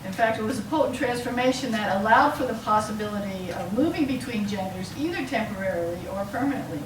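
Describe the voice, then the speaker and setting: Female academic lecturer, halting and explanatory, recorded from a distance in a roomy space with clear background noise. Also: mid-range voice, expressive. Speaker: university lecturer. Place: lecture room, mic some way off.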